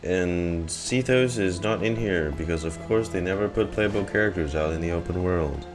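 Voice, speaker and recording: Low-voiced man streaming a game. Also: normal speed, soft background music. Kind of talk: casual livestream gameplay commentary.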